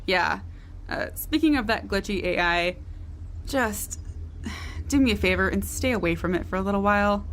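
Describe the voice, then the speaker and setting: A woman's voice: medium pitch, a little slow, long pauses, slight background noise, close to an everyday voice. A woman playing a brusque, overworked member of a spaceship crew in naturalistic audio drama, blunt and casual. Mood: tired, terse.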